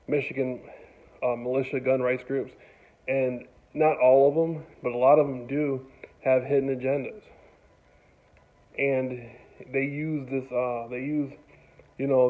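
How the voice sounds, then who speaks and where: A man giving an unscripted, casual monologue in a rambling, halting way, often repeating his phrases. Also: mid-range voice. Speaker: commentator on local news. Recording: phone-like, narrow tinny sound.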